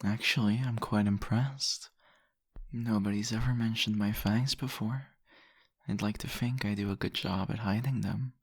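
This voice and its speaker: A man playing a seductive, possessive vampire in an intimate ASMR roleplay, teasing and murmuring deliberately in a husky, gravelly voice. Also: on the low side, steady tone, no echo. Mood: impressed, menacing.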